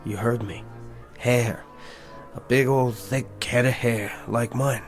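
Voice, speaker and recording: A man's voice: mid-range voice, fairly even intonation, slow, faint music behind, natural voice. An actor playing a cocky, sarcastic younger wise guy who talks in wisecracking street slang in a screen drama. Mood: scheming.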